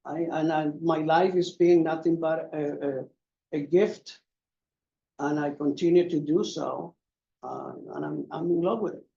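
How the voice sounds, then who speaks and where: Slow-talking older man, earnest and halting. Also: fairly high pitch, gravelly, dark tone, highs cut off. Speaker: panelist, veteran DJ. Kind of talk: reminiscing at a panel. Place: conference room.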